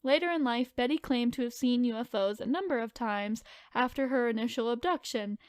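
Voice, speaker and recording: A woman's voice: fairly high pitch, brisk, clean tone, no room sound, clean studio sound. A woman co-hosting a podcast, moving between informal chat and reading aloud from notes. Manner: informal, filler-heavy, hedging retelling. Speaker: podcast co-host telling the story.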